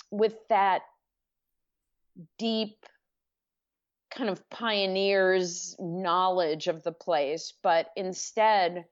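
A middle-aged woman speaking as an author in a podcast interview, thoughtful and measured, often restarting her sentences. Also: on the low side. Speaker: author and guest. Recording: dull lo-fi podcast audio.

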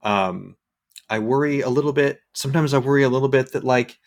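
A man's voice: medium pitch, brisk. A man in his forties hosting a casual talk, thinking aloud and often restarting his words. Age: 40s. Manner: hesitant, repeats words when searching for thoughts. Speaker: podcast host. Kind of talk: reflective casual conversation.

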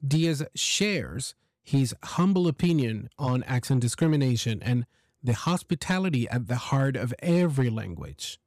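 A man's voice: medium pitch, expressive, measured pace, darkish tone, dry recording. A man hosting a podcast talks in a casual, earnest way and pushes his points with emphasis. The recording is dry and close. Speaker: podcast host.

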